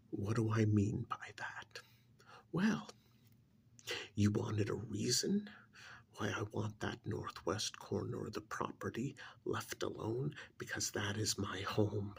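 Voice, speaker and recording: Casting: male actor as bitter alien posing as a stern uncle, very slow and heavily creaky, for an ASMR roleplay. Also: fairly low pitch, a little hiss or hum. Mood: contemptuous, bitter. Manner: stern, contemptuous, slow emphatic delivery with pauses.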